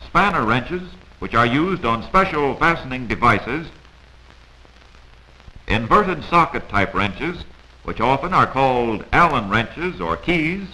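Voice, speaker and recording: A man's voice: medium pitch, expressive, takes it slowly, bright, forceful tone, low-quality recording. An American man narrating a scripted 1940s instructional film in a didactic, admonishing manner, heard on an old film soundtrack.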